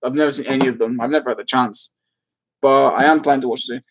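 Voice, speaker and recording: A man's voice: medium pitch, steady tone, fast talker, breathy edge, poor audio. A man hosting a casual live stream, rambling as he replies to comments and easily amused. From London, England.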